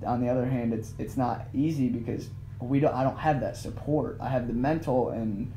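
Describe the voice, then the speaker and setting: A man's voice: mid-range voice, moderate pace, dark tone. A man talking candidly as a podcast guest, emphatic and casual, swearing now and then. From United States. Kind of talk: casual conversation. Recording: echoey room with faint noise.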